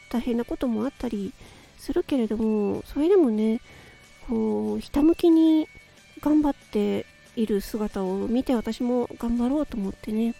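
High-voiced woman hosting a chatty solo show. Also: creaky, dark tone, low-quality recording. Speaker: host of a personal audio show. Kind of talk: chatty solo audio broadcast, birthday tribute.

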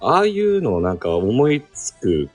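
A man chatting casually as a guest on a live audio show, politely and haltingly, thinking aloud as he goes. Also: medium pitch, huge pitch swings, a little mellow, husky. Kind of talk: casual chat. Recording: background music under the voice.

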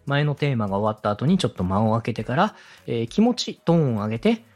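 Man coaching with very lively intonation. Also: mid-range voice, quick pace, soft background music. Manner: polite, instructive, with demonstrated example phrases. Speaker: radio director and podcast host giving advice. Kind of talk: step-by-step coaching on presenting.

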